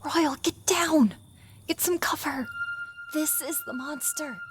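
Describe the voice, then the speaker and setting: A woman's voice: high-pitched, slow pace, heavy background noise. A woman playing a plucky, alert partner on a monster hunt in a vintage-style radio drama. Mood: urgent. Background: dramatic music.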